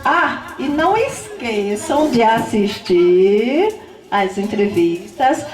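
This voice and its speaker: A woman's voice: on the high side, sing-song, slow pace. Grateful woman in an echoey hall. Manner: warm, grateful, heartfelt, with frequent tag questions. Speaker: retiring teacher. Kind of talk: farewell speech at a ceremony.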